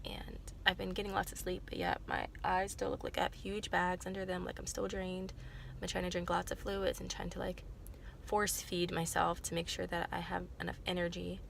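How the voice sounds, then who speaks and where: A woman's voice: on the low side, little pitch movement, moderate pace, creaky, a little hiss or hum. A young woman with a cold, sounding congested and nasal, chatting casually to camera in a rambling way full of fillers and self-corrections. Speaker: vlogger.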